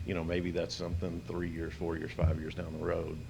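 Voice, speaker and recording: A man and local business owner making a public comment at a city meeting, earnest and polite with many pauses. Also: completely flat delivery, noisy background. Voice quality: gravelly, low voice with hesitant fillers. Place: city commission chamber. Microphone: podium microphone.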